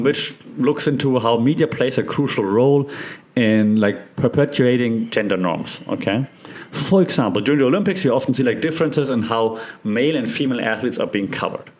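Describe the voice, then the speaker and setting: A man's voice: medium pitch, a little mellow. A man from Germany with a German accent, hosting a podcast and explaining ideas in a chatty monologue, often checking in with his listeners. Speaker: podcast host.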